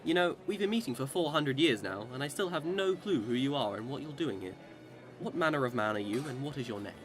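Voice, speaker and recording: Guy playing an immortal english chap in a radio play, boastful and dramatic, mid pitch, music under it. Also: lively intonation, brisk, close to an everyday voice. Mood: puzzled, troubled. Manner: theatrical, exclamatory old-time speech. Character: boastful immortal Englishman, swinging between cheer and despair.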